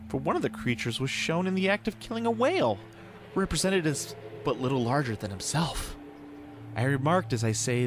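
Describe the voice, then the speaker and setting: A man reading a horror story aloud in a theatrical, brooding, ornate literary delivery. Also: a little high. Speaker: podcast host reading horror tales aloud. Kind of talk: dramatic scripted horror story narration. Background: atmospheric ambience sound bed.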